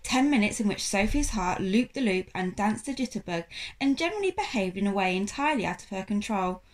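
A woman's voice: mid-range voice, expressive, a little fast. A young woman reading a children's storybook aloud with expression, like a teacher to a class. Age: young adult.

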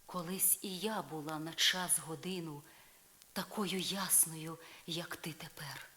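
An actress playing a proud, jealous, sorrowful spurned wife in a solemn verse drama, shifting from breathy hush to declamation. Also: female voice, deep voice, a little slow, a little hiss or hum. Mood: solemn, mournful. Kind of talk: dramatic poetry reading, slow and solemn. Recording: vinyl record rip.

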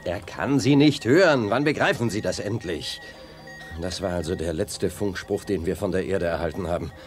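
An actor playing a resolute, earnest young space hero in a sci-fi audio drama. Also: male voice, low voice, moderate pace, faint music behind, lightly acted. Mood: determined. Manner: decisive, earnest.

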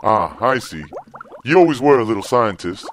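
A man acts as a kindly middle-aged dad in an audio comedy. His voice sits fairly low and moves with lively pitch, earnest and full of fatherly reassurance. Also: normal speed, a little hiss or hum, natural voice. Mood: encouraging. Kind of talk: comedic audio drama acting.

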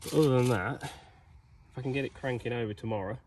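Young guy, casual self-talk vlog, average pitch, noisy night air behind. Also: a little fast, darkish tone. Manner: chatty, cheeky, thinking aloud while working. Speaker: vlogger mechanic. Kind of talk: casual workshop narration. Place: outdoors at night by an old digger.

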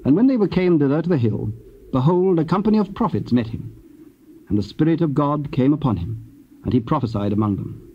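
A man narrating dramatized scripture in a formal, measured storytelling manner. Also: mid-range voice. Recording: dull old cassette, faint noise.

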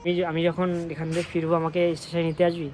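A man's voice: fairly high pitch, monotone, racing, a little mellow. A young man chatting casually and teasingly on a call, insistently repeating his questions. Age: young adult. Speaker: prank video creator. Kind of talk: casual teasing phone chat.

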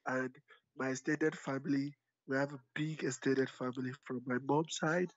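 A man's voice: mid-range voice, steady tone, normal speed, no room sound. A man in his late twenties with a Nigerian accent, a guest answering interview questions about his background. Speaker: interview guest, author and activist. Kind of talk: casual interview. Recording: dry, slightly dull call line.

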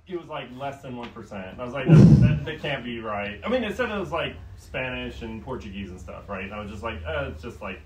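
A man in his late thirties, an audience member answering a comedian, in a calm, matter-of-fact, understated way. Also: medium pitch, brisk, hardly any pauses. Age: 30s. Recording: distant voice in a roomy club with crowd.

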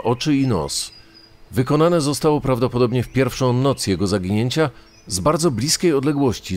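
Male second reader, mid-range pitch, scripted documentary delivery for true crime. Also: darkish tone.

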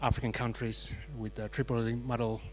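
A young man introducing his organization at a workshop in a crisp, businesslike manner, into a handheld mic. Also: mid-range voice, level tone, fairly quick, a little hiss or hum. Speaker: organization representative. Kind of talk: self-introduction. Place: meeting room.

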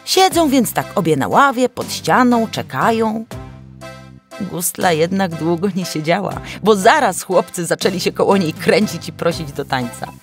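A woman narrating a folk tale as a storyteller, with an animated, dramatic delivery. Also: on the low side, moderate pace, faint music behind.

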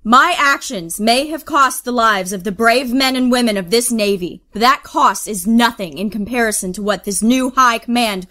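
A woman's voice: fairly high pitch, moderate pace, few pauses, bright, forceful tone. A woman playing a proud, defiant naval captain on trial in an audio drama, making impassioned formal speeches. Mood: defiant, earnest.

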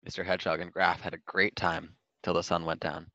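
A man's voice: sits low, little pitch movement, a little fast, pushed and bright, no room sound, lo-fi sound. A man reading a children's storybook aloud in scripted narration. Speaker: storybook reader.

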